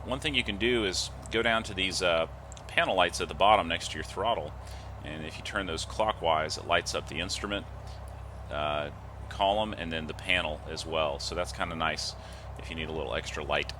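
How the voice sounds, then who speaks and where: Man, sharp forceful tone, methodical tutorial narration, checklist-style instruction, faint steady noise behind. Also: deep voice, moderate pace. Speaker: host of a flight simulator video series. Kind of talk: step-by-step tutorial commentary over a flight simulator.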